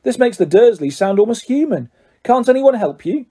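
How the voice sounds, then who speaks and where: Polite, nervous schoolboy wizard read by a man, raised soft-toned voice, hesitant and well-meaning, for an audiobook. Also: extremely high pitch, sing-song, moderate pace, husky, voice pushed up, heavily acted. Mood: earnest, urgent. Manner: hesitant, hushed urgency.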